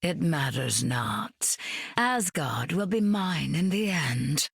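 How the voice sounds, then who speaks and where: Villainous game goddess: slow, husky, sweeping intonation, grand and condescending. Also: female voice, sits low, big pitch swings, dry recording, studio quality, full character voice. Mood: haughty menace. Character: imperious, silky goddess queen of the dead. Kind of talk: video game voice acting.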